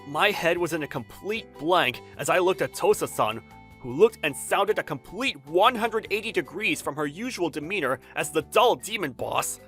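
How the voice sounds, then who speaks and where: A man's voice: fairly high pitch, expressive, moderate pace, a bit bright, faint music behind. A man voicing a stubborn, earnest young designer who narrates a manga dub and swings from reflective to exasperated. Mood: reflective narration. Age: young adult. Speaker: narrator and lead character. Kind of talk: anime-style manga dub, dramatized first-person narration.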